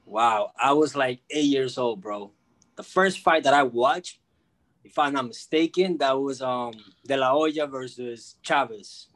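A man's voice: medium pitch, a little slow, a bit bright. A man chatting casually as a guest, telling personal stories in slangy, repetitive street talk. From born in Peru, grew up in the United States from age two. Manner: slangy, peppers talk with bro, man and you know what I mean. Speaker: guest, freelance sports media journalist.

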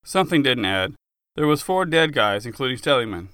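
Casting: male author-narrator for first-person audiobook fiction, forceful and clear, dramatic storyteller. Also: mid-range voice, big pitch swings, fairly quick, no echo, studio quality.

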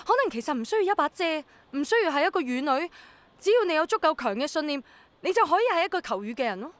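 High-voiced, spirited young Hong Kong woman. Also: quick pace. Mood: earnest encouraging. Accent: Hong Kong Cantonese. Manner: colloquial, expressive. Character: spirited young woman, hesitant but willing rain girl. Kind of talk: Cantonese radio drama acting and narration.

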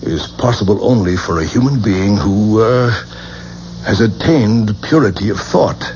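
A learned, composed male expert on the occult in a vintage radio play. His low voice swings expressively as he lectures in a measured, slightly patronizing manner. The old broadcast is muffled and hissy. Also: expressive, darkish tone. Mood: measured, lecturing. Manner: professorial, measured, patronizing explanations. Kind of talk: 1970s American radio drama acting.

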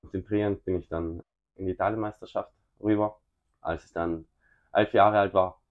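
A young man from South Tyrol, a racing driver as podcast guest, answering in a halting way with many fillers and restarts. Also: sits low, steady tone, narrow, tinny audio. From South Tyrol, Italy. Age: young adult. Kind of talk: casual interview answers.

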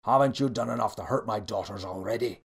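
A man's voice: on the low side, fast, creaky, breathy edge. A man voicing a stern, indignant king in a storybook, growling and pompous. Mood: angry.

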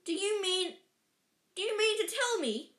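A woman voicing a huge, gruff male giant in a storybook, booming and growling with rustic, emphatic speech and a hot temper. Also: sing-song, unhurried. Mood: outraged. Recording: echoey, roomy sound.

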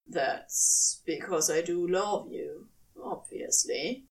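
A woman's voice: on the low side, takes it slowly, darkish tone, far from the mic, voice pushed down, low-quality recording. A woman voicing a laid-back, tender male supernatural being in an audio story. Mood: tender, reassuring.

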